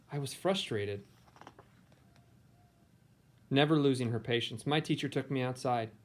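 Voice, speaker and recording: A man with an American accent, a teacher who talks informally and reads picture books aloud. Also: medium pitch. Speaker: teacher and read-aloud host. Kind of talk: picture book read-aloud, informal introduction.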